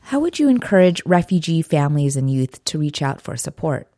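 A woman interviewer reading scripted questions in a formal, measured way. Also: low voice, sing-song, warm tone, no echo, studio quality.

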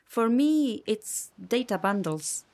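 A woman's voice: a little high, big pitch swings, slow, a little mellow, no room sound, heavily acted. A woman playing an earnest migrant mother making short declarations in a radio play.

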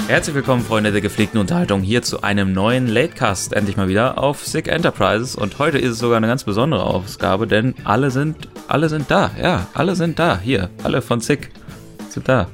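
A man's voice: mid-range voice, animated, brisk, faint music behind. A man hosting a podcast in a casual, chatty way, playfully repeating his phrases. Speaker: podcast host. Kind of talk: casual podcast intro chat.

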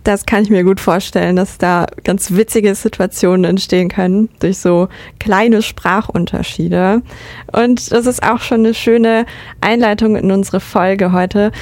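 A woman's voice: mid-range voice, darkish tone, no echo. A young woman whose first language is Russian, co-hosting a casual podcast chat and talking with many hesitations. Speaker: podcast co-host.

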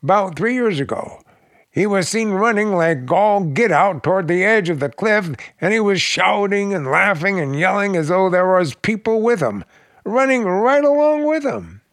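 An actor playing a plain-spoken, practical real estate agent in a 1940s radio drama. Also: male voice, sits high, lively intonation, normal speed, fairly bright tone, dry recording, clean studio sound. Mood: insistent. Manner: matter-of-fact, emphatic storyteller.

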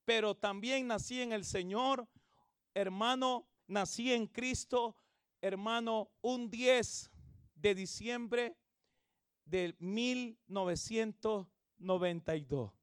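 A man, preaching an evangelistic sermon and leading the congregation in reading, with phrasing that swells into shouts. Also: high-pitched, clean tone, dry recording, studio quality. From El Salvador. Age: 30s. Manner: calls everyone "hermano", drawn-out emphatic phrasing. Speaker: pastor.

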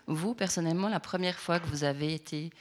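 A woman's voice: low-pitched, brisk. A woman interviewing a guest, asking her questions in a searching, hesitant way.

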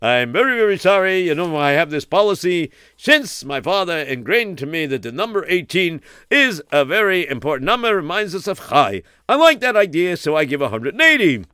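A man playing a brisk, exuberant high-voiced philanthropist. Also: very animated, pushed and bright, clean tone, dry recording, clean studio sound. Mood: cheerfully firm. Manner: emphatic, repetitive, briskly cheerful. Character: brisk, exuberant rich male philanthropist dismissing collectors. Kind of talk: acted dialogue in a comic story.

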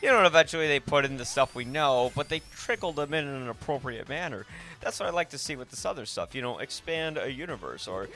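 A man's voice: medium pitch, lively intonation, fast, pushed and bright. A man hosting a podcast, talking casually about films in a rambling, opinionated, informal way. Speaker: podcast host. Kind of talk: casual film discussion.

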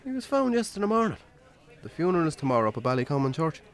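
An actor with an Irish accent playing a weary, lonely farmer in a naturalistic radio drama, plain-spoken and wry. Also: male voice, a little high, sing-song, very fast. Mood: sombre, matter-of-fact.

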